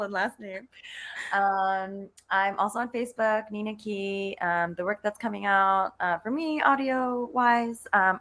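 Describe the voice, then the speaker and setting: A woman with an unhurried delivery and a middle-pitched voice. She speaks informally as a panel guest, pausing hesitantly between details. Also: a bit bright. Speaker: panelist, audio story writer. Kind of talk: casual panel talk.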